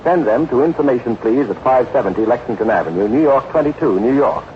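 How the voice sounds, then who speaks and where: A man's voice: medium pitch, animated, fast talker. A man announcing a 1940s radio show, reading sponsor copy in an emphatic, formal manner. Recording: muffled, noisy 1940s radio broadcast.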